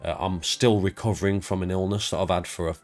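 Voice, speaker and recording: A man with a low voice talks in a laid-back, rambling way, his voice audibly stuffed up and congested. He sounds easygoing and a little self-deprecating. Soft music plays faintly underneath. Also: steady tone, fast talker. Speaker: YouTube creator. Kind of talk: casual game collection walkthrough.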